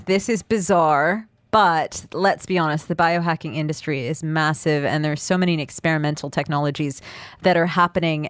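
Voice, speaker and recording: A woman's voice: on the low side, no echo. A woman hosting a studio interview, introducing a guest and asking direct questions. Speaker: host.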